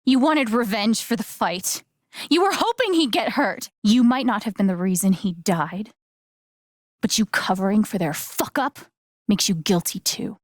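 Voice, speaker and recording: Casting: wry, candid young woman for a narrative game, slow conversational delivery, natural mid-range voice, very dry sound. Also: medium pitch, expressive, dry recording. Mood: accusing, firm. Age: young adult. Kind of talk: naturalistic video game acting.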